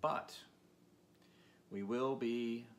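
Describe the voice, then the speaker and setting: A man's voice: mid-range voice, fairly even intonation, drawn-out words. A man reading a children's storybook aloud as narrator. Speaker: audiobook reader. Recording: clear background noise.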